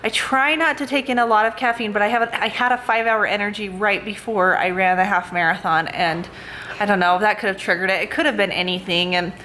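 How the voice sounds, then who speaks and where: A woman's voice: medium pitch, fairly even intonation, brisk, sharp tone. A woman vlogging in a casual, chatty way, rambling with lots of fillers. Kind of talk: casual chat to camera. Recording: noisy background hum.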